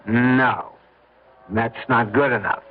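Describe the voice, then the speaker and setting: An American actor playing a determined, bitter blackmail victim in a radio drama, speaking insistently and deliberately. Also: male voice, mid-range voice, steady tone, husky. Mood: bitter, grim. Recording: tinny, hissy old radio broadcast.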